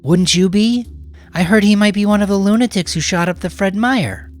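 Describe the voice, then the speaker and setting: Man voicing a brash, world-weary woman, pitched up. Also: normal speed, soft background music, lightly acted. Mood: scoffing. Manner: scornful, brash, mocking. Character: hard-bitten, defiant, world-weary middle-aged woman sex worker. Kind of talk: audiobook character voice.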